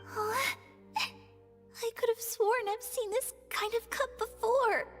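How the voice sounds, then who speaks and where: Very high, timid little sister. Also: female voice, fairly even intonation, slow. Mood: frightened, distressed. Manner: gentle, hesitant. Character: sweet, innocent, timid little sister, a young girl. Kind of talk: video game voice acting. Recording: long reverb, faint music behind.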